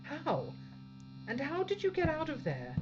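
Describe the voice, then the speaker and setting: An actress playing a tough, blunt spaceship captain in a science-fiction audio drama, with a dry and defiant manner. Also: female voice, high voice, wildly swooping pitch, measured pace, noisy background, heavily acted. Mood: shaken relief. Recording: dull lo-fi sound over music.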